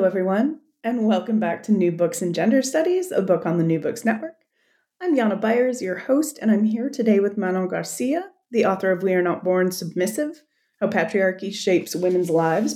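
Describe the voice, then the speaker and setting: Woman, podcast host, enthusiastic, effusive, mid-pitched. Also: expressive, moderate pace, dark tone. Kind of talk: interview podcast.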